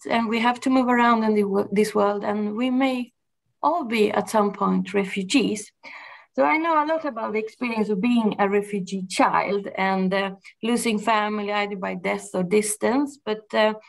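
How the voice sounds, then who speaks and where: A middle-aged woman from Argentina with a Spanish accent, a gardener speaking thoughtfully to an audience, pausing and restarting often. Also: medium pitch, creaky, low-quality recording. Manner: thoughtful, halting with frequent restarts and fillers. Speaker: gardener and project manager giving a talk. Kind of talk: personal talk to an audience.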